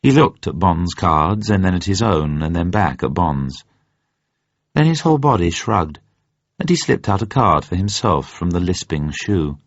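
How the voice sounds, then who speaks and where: A man reading a story as a narrator in a measured, suspenseful, dramatic way. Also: low voice, sing-song. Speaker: audiobook narrator. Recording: dull, muffled, roomy radio recording.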